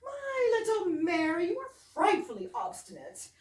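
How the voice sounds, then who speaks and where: A woman's voice: extremely high pitch, wildly swooping pitch, moderate pace, full character voice. A woman playing a prim, scolding middle-class housewife in an amateur readers theater absurdist comedy, with melodramatic delivery. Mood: disapproving. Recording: roomy, distant mic, faint noise.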